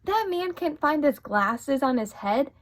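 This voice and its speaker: A woman's voice: high voice, lively intonation, measured pace. A woman acting a catty, sardonic former star actress in a radio play. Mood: sardonic, confessional.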